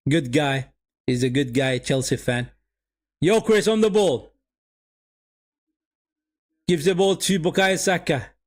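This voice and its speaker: A man's voice: a little high, takes it slowly, long pauses. Man with a Somali first language, streaming a match. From Sweden. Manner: repeats phrases, addresses viewers as people. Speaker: watch-along streamer. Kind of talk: live match watch-along commentary.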